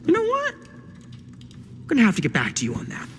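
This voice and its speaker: An actor playing a flamboyant, cheeky schemer in a video game, theatrical, teasing and smug. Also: male voice, very high voice, wildly swooping pitch, fairly bright tone, heavily acted. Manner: theatrical, teasing, drawn-out exclamations. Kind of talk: expressive video game acting. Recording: long hall reverb, faint background noise.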